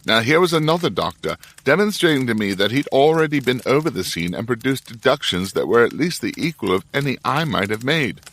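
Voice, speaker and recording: A thoughtful Victorian doctor with a mid-pitched, expressively varied voice. He is measured, dry and reflective, like someone narrating his own memoir in an audio drama. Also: big pitch swings, fairly bright tone. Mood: rueful narration. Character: thoughtful, self-doubting Victorian doctor, the detective's companion. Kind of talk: first-person narration and audio drama dialogue.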